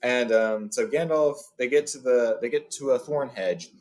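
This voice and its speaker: A man hosting a read-along, telling and reading a story in an informal, expressive way. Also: medium pitch, moderate pace. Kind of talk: storybook reading with casual retelling.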